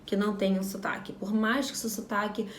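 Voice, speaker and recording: A woman's voice: medium pitch, little pitch movement, a little fast, echoey room, slight background noise. A Brazilian woman in her thirties talking frankly to camera in a casual vlog, repeating phrases for emphasis. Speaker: vlogger.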